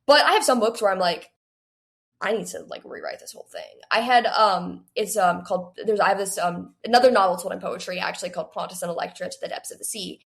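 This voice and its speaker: A woman's voice: medium pitch, fast, vocal fry. An eighteen-year-old woman speaking as a guest in a casual interview, rambling with frequent "like" and bits of quoted self-talk. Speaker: guest author. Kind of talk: casual interview chat about writing.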